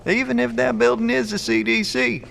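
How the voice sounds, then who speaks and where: An actor playing a blunt, friendly survivalist in an audio drama, with a folksy, gravelly drawl. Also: male voice, extremely high pitch, big pitch swings, moderate pace, fairly bright tone, heavily acted. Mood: friendly, apologetic. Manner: folksy, colloquial, plain-spoken. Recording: long echoey reverb of an empty hall.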